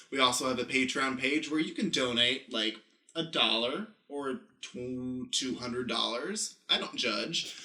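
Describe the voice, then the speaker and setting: Bright-voiced man hosting a podcast. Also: mid-range voice, roomy sound. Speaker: podcast host. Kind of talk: scripted-sounding announcing with casual asides.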